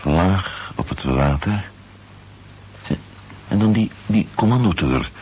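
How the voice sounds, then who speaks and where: A gruff investigator in an old radio play, speaking very low and thinking aloud with dry doubt. The seventies recording is dull and faintly noisy. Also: male voice, lively intonation, takes it slowly, darkish tone, heavily acted. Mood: sceptical. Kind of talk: 1970s Dutch radio drama acting. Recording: muffled, noisy 1970s radio drama tape.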